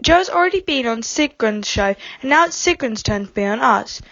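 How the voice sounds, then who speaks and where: A female co-presenter reads a scripted podcast introduction in a high-pitched voice. The sound is very close and dry, with a slightly dull, lo-fi edge. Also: animated, a little fast, a bit bright, dry recording.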